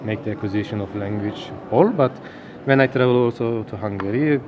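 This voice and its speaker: A man in his thirties from Turkey, speaking English with a Turkish accent as an interview guest, halting and searching for words. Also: fairly low pitch, muffled recording, noisy background. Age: 30s. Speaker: linguist guest. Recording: outdoor crowd chatter behind.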